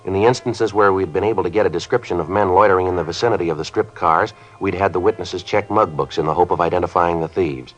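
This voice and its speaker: An actor playing a terse, matter-of-fact American police sergeant in an old radio crime drama, with a flat, businesslike delivery. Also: male voice, low voice, fast, hardly any pauses, natural voice. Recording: dull, band-limited old radio recording.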